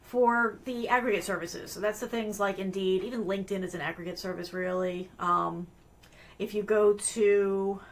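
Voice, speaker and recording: A woman's voice: mid-range voice, fairly even intonation, normal speed. A middle-aged woman hosting a live career webcast, talking in a loose, rambling way with many fillers and restarts, her voice strained from allergies. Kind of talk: casual live-stream talk with screen sharing.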